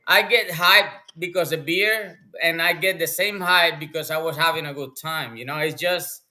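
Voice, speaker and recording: A man in his forties from Puerto Rico with a Puerto Rican accent, chatting excitedly as a podcast guest over a video call and often restarting his sentences. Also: on the high side, moderate pace. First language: Spanish. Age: 40s. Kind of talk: casual chat.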